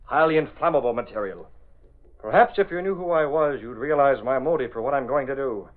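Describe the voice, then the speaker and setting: An actor playing a masked vigilante in an old radio drama, speaking in a clipped, commanding, deliberate way. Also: male voice, mid-range voice, natural voice. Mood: stern, menacing. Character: masked male vigilante posing as menacing avenger, doubling as publisher. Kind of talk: 1930s-40s radio drama acting. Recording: tinny, band-limited old radio recording.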